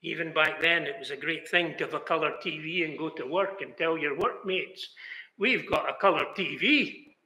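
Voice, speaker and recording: A man's voice: fairly high pitch, moderate pace, pushed and bright. An older British man preaching a sermon in a chapel, earnest, rising now and then to exclamations. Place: chapel hall, indoors. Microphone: fixed camera, seated mid shot. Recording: echoey chapel room.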